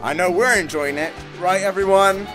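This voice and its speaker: A man playing a booming, eager cruise line announcer in a radio commercial. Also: normal speed, music in the background, close to an everyday voice. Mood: hard-sell enthusiasm.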